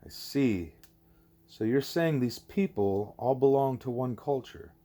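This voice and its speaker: A man's voice: medium pitch, slow, dark tone, close to an everyday voice. A man voicing a curious, frank young girl pupil in an audiobook, plain-spoken and questioning. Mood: hesitant, questioning.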